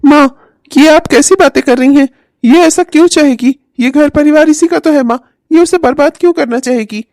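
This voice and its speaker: Casting: woman as worried grown child in a household drama, high-pitched, reasoning, soothing. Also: normal speed, darkish tone, no echo, clean studio sound. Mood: concerned, placating. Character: concerned grown child of the house, calming mother. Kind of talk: family story acting.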